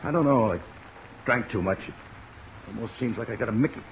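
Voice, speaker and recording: An American actor playing a wary, bewildered sailor held in jail, speaking plainly and hesitantly in an old radio drama. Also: male voice, on the low side, fairly quick, close to an everyday voice, low-quality recording. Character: bewildered, wary American seaman jailed for murder. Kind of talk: 1950s radio drama acting. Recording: old radio recording with rain noise. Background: steady rain.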